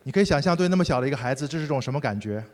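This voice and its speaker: A man interpreting a sermon consecutively, rendering each phrase fluently and briskly. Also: fairly high pitch, expressive, a little mellow. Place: large church hall. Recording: hall reverb.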